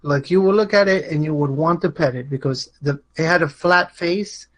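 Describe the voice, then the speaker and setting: A man's voice: a little high, moderate pace, a bit bright. A man talks casually as a guest on a live show. He feels his way through what he says, thinking aloud. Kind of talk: casual chat.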